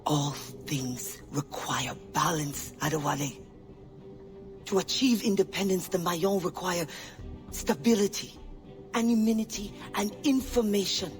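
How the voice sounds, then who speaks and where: An actor playing a proud, wary spy in an island resistance, speaking in a cool, clipped, guarded way. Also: female voice, fairly low pitch, unhurried, faint music behind, lightly acted. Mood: bitter. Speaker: game character. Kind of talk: video game cutscene acting. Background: game score.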